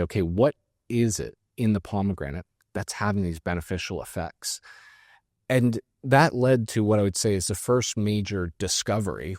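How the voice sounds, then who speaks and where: Low-voiced Canadian man, halting explainer. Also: a little mellow, no room sound, clean studio sound. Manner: hesitant, many fillers and restarts. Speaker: guest scientist. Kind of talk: casual conversational interview.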